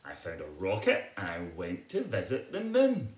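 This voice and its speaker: A man's voice: sits low, huge pitch swings, moderate pace, echoey room, pitched below the performer's own voice. Man voicing an eager little bear cub, lilting. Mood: eager, earnest. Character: eager, polite little boy bear with big imagination.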